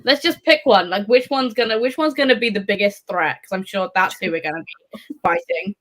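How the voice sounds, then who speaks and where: A woman's voice: medium pitch, fairly quick, a bit bright. A woman in a casual live book discussion, rambling along in a wry, sweary way. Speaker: book readalong panellist.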